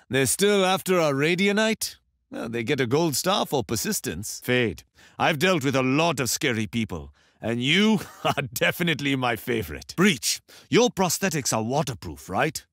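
An actor playing a confident, good-humored Indian soldier hero in a video game, with an Indian accent and a wry, expressive delivery. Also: male voice, on the high side, no echo, studio quality, exaggerated voice. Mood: wry, playful. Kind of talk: game voice lines, short tactical callouts and quips.

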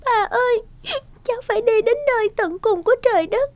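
Very high-pitched, plaintive young girl. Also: fairly quick. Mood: tearful. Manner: plaintive, earnest, polite. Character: gentle, tearful, kind young orphan girl. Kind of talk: dubbed cartoon fairy tale acting.

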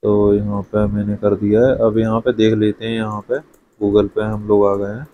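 A man's voice: fairly low pitch, monotone, moderate pace, lo-fi sound, a little hiss or hum. A young man giving a casual screen-share walkthrough as an education YouTuber, chatty and often adding "okay" to check in. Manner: chatty, repeats "theek hai" often.

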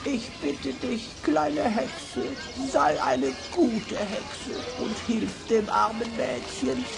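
An actor voicing a kind-hearted, earnest talking raven in an old children's radio play, with a croaking voice. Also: medium pitch, animated, slow, music in the background, exaggerated voice. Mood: earnest pleading.

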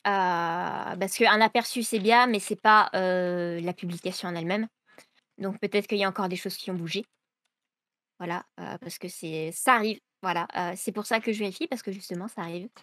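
A young woman explains her writing routine in an interview, hesitant and full of fillers as she searches for words. She talks from a room at home into a desk mic on a video call, at an ordinary middle pitch. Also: steady tone, normal speed, a bit bright, no echo. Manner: hesitant, many fillers and restarts. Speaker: writer being interviewed. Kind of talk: casual explanatory interview answers.

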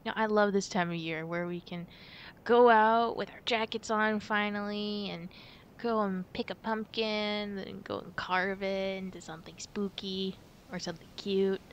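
A young woman playing a playful, affectionate girlfriend in a chatty ASMR roleplay, with gentle hesitant pauses. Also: steady tone, natural voice. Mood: fond, chatty. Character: playful, affectionate girlfriend on a pumpkin-picking outing. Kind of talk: ASMR girlfriend roleplay, casual one-sided chat. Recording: rumbly background noise.

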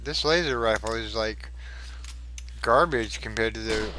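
A man's voice: lowish voice, unhurried, noisy background. A man giving casual commentary as he plays a shooter game, chatting and muttering to himself through fights. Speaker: gaming channel host.

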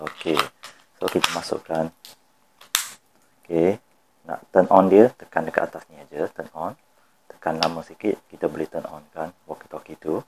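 A man's voice: a bass voice, fairly even intonation, moderate pace, pauses often. A man with a Malaysian accent giving an informal step-by-step tutorial, repeating instructions and mixing in some English words. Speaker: product demonstrator. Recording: clear background noise.

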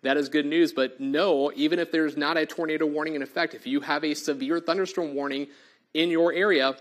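A man's voice: on the high side, steady tone, fairly quick. A man, a TV meteorologist losing his voice, giving urgent live storm warnings full of fillers in a studio on a lapel mic. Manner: urgent, repetitive, filler-heavy live delivery. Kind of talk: live severe weather coverage. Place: TV studio in front of a weather wall.

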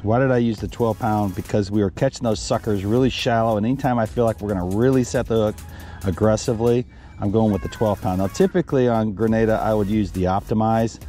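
A middle-aged man, a fishing guide, talking casually from his boat on a lake into a headset mic, relaxed and rambling with lots of ums. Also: lowish voice. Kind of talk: casual fishing vlog chat.

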